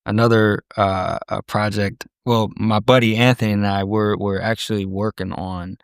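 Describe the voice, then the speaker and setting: A man's voice: on the low side, level tone, normal speed, smooth, dry recording, studio quality. A man, a guest rapper, talking casually and rapping his verses with a rhythmic flow. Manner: rhythmic rap delivery, laid-back in conversation.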